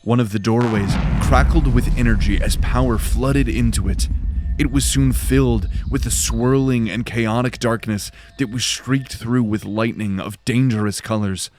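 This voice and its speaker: A man's voice: mid-range voice, normal speed, noisy background. A man giving dramatic scripted narration for a fantasy audio drama.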